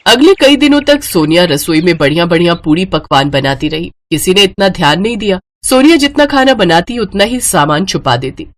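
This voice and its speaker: A woman storyteller reading a scripted tale. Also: medium pitch.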